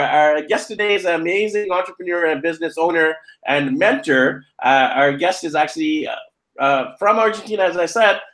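Man, podcast host, enthusiastic, upbeat, higher pitch. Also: bright, forceful tone, low-quality recording. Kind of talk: podcast interview hosting.

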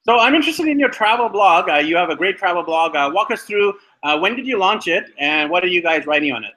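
A man from Canada hosts a relaxed interview, his voice slightly high and bright. He asks questions haltingly, filling gaps with many uhs and ums. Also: low-quality recording. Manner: hesitant, many fillers. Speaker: interview host. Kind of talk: casual interview conversation.

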